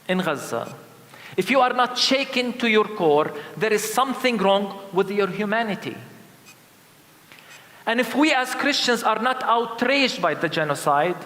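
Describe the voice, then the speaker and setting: A man with a Palestinian accent preaching a sermon as a pastor, grave, deliberate and forceful. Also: high voice. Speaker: Lutheran pastor. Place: in a church. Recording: echoing church with long reverb.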